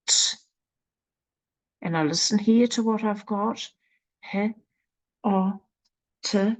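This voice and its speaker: A woman with a New Zealand accent presenting a literacy program in a slow, deliberate walkthrough, sounding out letters one at a time. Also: medium pitch, darkish tone, low-quality recording. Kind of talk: screen-recorded software walkthrough.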